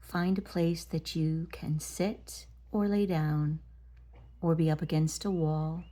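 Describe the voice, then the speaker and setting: Slow, low, mellow woman guiding meditation. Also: flat delivery. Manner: gentle, deliberate, pausing between short phrases. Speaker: meditation guide. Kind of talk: guided body scan meditation.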